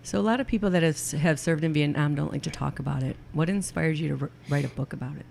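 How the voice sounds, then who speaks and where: A co-host of a relationships show, asking a guest questions in a gentle, encouraging way. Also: medium pitch, quick pace, creaky, a little hiss or hum. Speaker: co-host, couples therapist. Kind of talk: interview questions.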